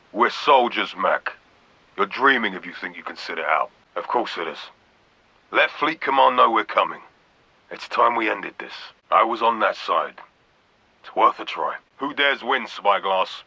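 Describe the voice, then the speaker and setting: An actor playing a stern, commanding vice admiral in a video game, giving clipped, authoritative orders over a radio comms filter. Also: male voice, medium pitch, sharp tone, no echo. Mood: grim, resolved. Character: stern military vice admiral, grave, commanding fleet officer. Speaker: military commander character. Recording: dull band-limited radio sound.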